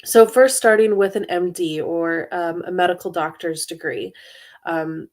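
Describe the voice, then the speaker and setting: A woman presenting in a webinar about study programs in an informative, steady way, with frequent hesitation fillers, over a computer mic. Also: on the low side, unhurried. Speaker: university international student services director, presenter.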